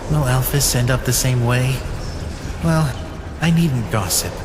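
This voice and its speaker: Man voicing an eerie, slow, echoing gossiper. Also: medium pitch, lightly acted. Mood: eerie, sly. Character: eerie, slow-talking gossiping follower of a vanished scientist. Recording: echoey, noisy background.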